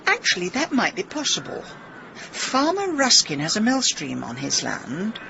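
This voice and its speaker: Brisk sardonic middle aged lady snoop, swoopy delivery, dull hissy radio play. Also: medium pitch, wildly swooping pitch, creaky, bright, forceful tone, heavily acted. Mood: dry. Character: sharp-tongued middle-aged woman amateur sleuth in a village. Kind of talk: radio drama acting.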